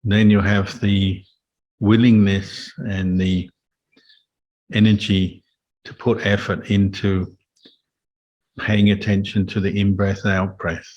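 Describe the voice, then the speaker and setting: A man speaking as a meditation teacher, giving calm, measured guidance in a Buddhist talk. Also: lowish voice, highs cut off. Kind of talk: guided meditation and Dhamma talk.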